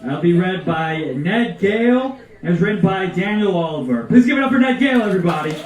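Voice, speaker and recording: A man announces the next act from a stage with enthusiasm. His voice is high and swings widely in pitch. The sound is roomy and echoey. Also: expressive, a little fast. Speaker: show announcer. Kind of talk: enthusiastic live stage introduction. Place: live storytelling venue. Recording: echoey venue, distant mic, faint noise.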